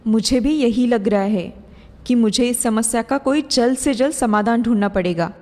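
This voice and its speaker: A woman's voice: on the high side, moderate pace, mellow, lightly acted. Woman as scheming stepmother sorceress in cavernous reverb. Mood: worried, plotting. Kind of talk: dramatised children's fairy tale acting. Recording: long hall reverb, music behind.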